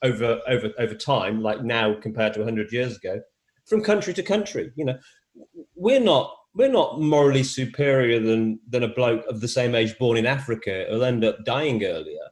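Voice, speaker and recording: A man's voice: mid-range voice, expressive, normal speed. A middle-aged man from England with a faint English accent, answering interview questions with many fillers and restarts. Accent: English, softened by years in Australia. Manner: hesitant, many fillers and repeated words. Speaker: interview guest, men's health advocate.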